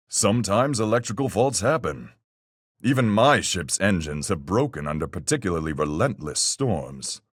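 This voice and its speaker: Deep, husky seafaring sleuth. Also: male voice, no room sound, studio quality, full character voice. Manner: folksy nautical speech, measured and reasoning. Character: calm seafaring man, salty sailor-sleuth reasoning through a murder. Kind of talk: video game voice acting, deliberate argument.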